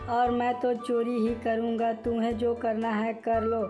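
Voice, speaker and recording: A lazy, thieving male cartoon mouse, voiced by a woman, brags shamelessly in a slightly high voice. The defiant lines come out in a flat, even tone over faint music. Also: a little slow, natural voice. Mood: defiant, mocking. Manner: defiant, boastful. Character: fat, lazy, brazen male mouse thief. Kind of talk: Hindi animated moral story dialogue.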